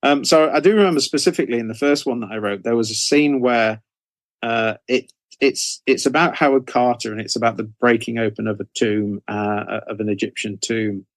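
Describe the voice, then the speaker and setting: A man's voice: medium pitch, brisk, no echo. Male author as interview guest: casual, mid-range voice, circles back and rephrases as he explains, very little room sound. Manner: hesitant, self-correcting, repeats phrases. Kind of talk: casual conversational interview answers.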